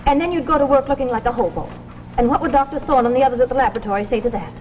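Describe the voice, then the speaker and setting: An actress playing a practical, exasperated older sister who scolds insistently in a 1950s science fiction drama. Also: female voice, high voice, rapid-fire, natural voice. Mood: exasperated, scolding. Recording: muffled, noisy 1950s broadcast with reverb.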